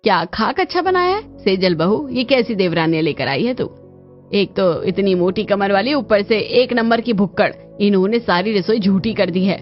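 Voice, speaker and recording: A woman's voice: mid-range voice, normal speed, highs cut off, faint music behind, natural voice. A woman voicing a stern, scornful middle-aged mother-in-law in a family story, scolding and sarcastic. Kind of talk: dramatized moral family story voice acting.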